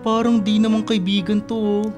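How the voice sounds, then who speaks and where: Radio drama: actor as young male best friend and boyfriend, joking, coaxing, expressive, clear music bed. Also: high-pitched, normal speed, lightly acted. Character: playful, teasing young boyfriend and loyal best buddy.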